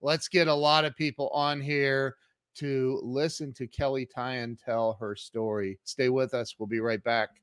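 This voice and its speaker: A man's voice: medium pitch, little pitch movement, unhurried, a bit bright. A man hosting a podcast interview, talking in an upbeat, enthusiastic way and often restarting his sentences. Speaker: podcast host. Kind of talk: casual interview chat.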